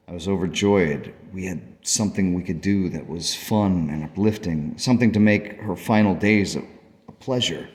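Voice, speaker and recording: An actor playing a grieving, devoted father who narrates a radio play in a halting, earnest, sorrowful way. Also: low voice, a little mellow. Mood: wistful, heartbroken. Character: grieving, devoted father recounting his daughter's illness. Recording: long reverb.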